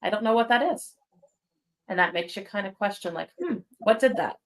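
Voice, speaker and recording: A woman's voice: medium pitch, fairly quick. Woman guest, paranormal investigator, easy storytelling full of ums. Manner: relaxed, many ums, vivid retelling. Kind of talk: storytelling in casual chat.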